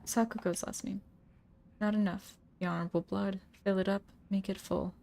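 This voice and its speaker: A woman's voice: mid-range voice, steady tone, moderate pace, vocal fry, darkish tone. Jumpy, chatty woman gamer. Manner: chatty, jumpy, reads in-game notes aloud in a murmur. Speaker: let's play gamer. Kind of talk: casual gameplay commentary.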